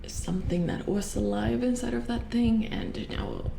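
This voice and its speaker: A woman playing an uneasy robot repair engineer in a soft-spoken horror ASMR roleplay, hesitant and stammering, often dropping to a whisper. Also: a little high, unhurried, creaky. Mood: shaken, horrified. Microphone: close ASMR microphone. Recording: roomy echo, light background noise.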